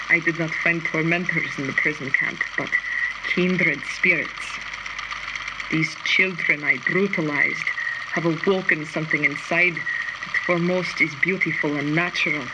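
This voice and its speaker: Brooding female scientist, tormented and bitter, dramatic audio-diary monologue under very loud noise. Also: sits low. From Belarus. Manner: brooding, deliberate. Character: bitter, tormented woman scientist, haunted former prison camp collaborator. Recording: very noisy, radio-like audio diary.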